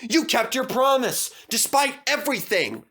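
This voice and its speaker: A man's voice: very high-pitched, very animated, unhurried, sharp tone, voice pushed up, exaggerated voice. A man voicing a cheerful, encouraging young tank engine, cheering, in a children's storybook.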